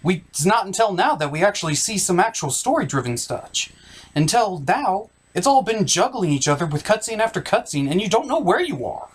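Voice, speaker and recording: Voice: mid-range voice, sing-song, fairly quick, slightly rough. A theatrical, exaggerated host narrating a scripted comedic movie recap.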